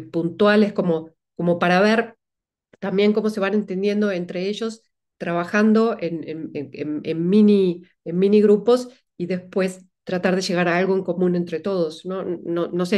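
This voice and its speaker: A woman's voice: fairly low pitch, darkish tone. A woman, an invited speaker from Latin America, answering audience questions and thinking aloud with many restarts. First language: Spanish.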